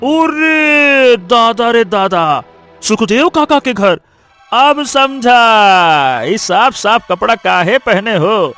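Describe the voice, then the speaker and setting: Jeering high-voiced village bully. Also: male voice, animated, bright, forceful tone, soft background music, exaggerated voice. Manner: taunting, drawn-out sarcastic drawl with rustic turns. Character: mocking, bullying rich village man, jeering and haughty. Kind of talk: animated story voice acting.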